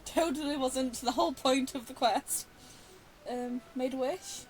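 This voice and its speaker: A woman's voice: high-pitched, a little slow, rough recording. A woman giving casual gameplay commentary, chatty and reactive with wry asides. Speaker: gaming video host reacting while playing.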